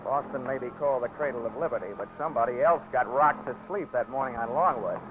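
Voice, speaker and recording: A man's voice: medium pitch, fast talker, very noisy, lightly acted. An actor playing a dry, wisecracking insurance investigator who narrates his own case in a 1940s radio drama. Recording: dull old radio broadcast with music.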